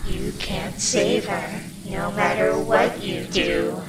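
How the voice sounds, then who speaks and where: Horror audio story: grotesque possessing spirit, drawn-out taunts under heavy noise. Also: male voice, high-pitched, fairly even intonation, voice pushed up. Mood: menacing, taunting. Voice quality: dark, grotesque voice. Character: sinister demonic spirit possessing a young filly.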